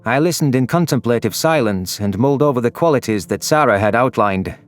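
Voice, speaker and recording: A man's voice: mid-range voice, animated, brisk. A man reading scripted first-person narration for a science fiction audio drama. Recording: music under the voice.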